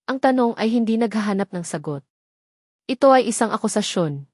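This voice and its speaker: A woman reads a scripted story as its narrator. Her delivery is solemn and melodramatic, at a middling pitch. The recording is close and dry, with no room sound. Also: darkish tone, no echo.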